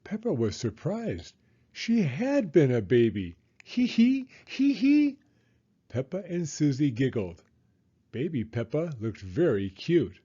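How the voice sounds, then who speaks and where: A man reads a children's storybook aloud at an unhurried pace with very lively, expressive swings in pitch. The sound is slightly dull. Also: slightly rough. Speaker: storybook reader.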